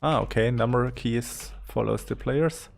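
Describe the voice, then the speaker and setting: A man's voice: medium pitch, unhurried, creaky. A man with a German accent, a native German speaker, giving unscripted video game commentary in a hesitant, thinking-aloud way. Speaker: gaming commentator.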